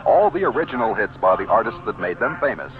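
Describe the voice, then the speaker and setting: A man reading a 1970s radio sales pitch for records in an emphatic, salesy announcer style. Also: expressive, fast. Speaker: commercial announcer. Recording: tinny old radio ad with music. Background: music.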